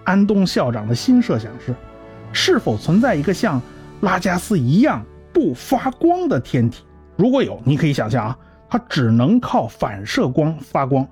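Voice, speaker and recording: Give it as a man playing a learned scientist who explains everything: keep your voice a little high and very animated, lecturing with soft music beneath. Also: moderate pace. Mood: explaining. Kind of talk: amateur radio drama. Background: faint music.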